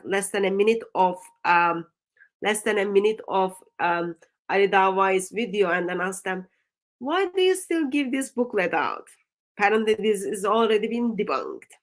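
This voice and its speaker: A woman with a Turkish accent, a native Turkish speaker, hosting a livestream discussion and talking haltingly with many ums. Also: mid-range voice. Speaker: stream host.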